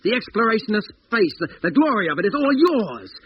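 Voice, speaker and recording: A noisy vintage radio serial recording. a hot-tempered, suspicious spaceship designer with a fairly high voice that swings widely in pitch. He blusters and accuses with open scorn. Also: very animated, a little fast, dull audio. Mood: paranoid, bitter. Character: paranoid, hot-tempered male spaceship designer turning on his crewmates. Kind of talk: 1950s British radio drama acting.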